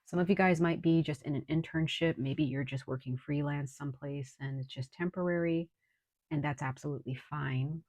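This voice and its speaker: Low voiced woman doing a tarot reading, bit hoarse from a cold, slow and reassuring, dry close sound. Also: fairly even intonation, a little mellow, no echo. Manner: unhurried and reassuring, speaks directly to viewers with frequent ums. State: under the weather with a cold, slightly hoarse. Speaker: tarot reader. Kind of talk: casual tarot reading to viewers.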